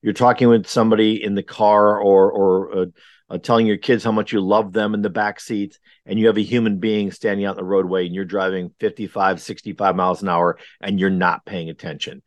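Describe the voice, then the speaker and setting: A man hosts a morning podcast, talking in a rambling, earnest way and filling pauses with ums and uhs. His voice sits fairly low. The sound is dry and close, with little room behind it. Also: level tone, no echo. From Wisconsin. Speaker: podcast host. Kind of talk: casual podcast chat. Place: remote recording.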